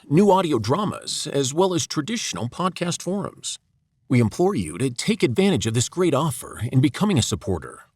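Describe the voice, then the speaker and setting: A man's voice: mid-range voice, husky, no room sound, clean studio sound. A man hosting a podcast, reading a scripted announcement in a polished, promotional manner. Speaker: podcast performer and producer, host.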